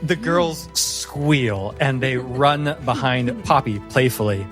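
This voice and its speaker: Slow-paced man narrating a tabletop game. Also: medium pitch, over music. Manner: measured, descriptive, storytelling. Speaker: game master.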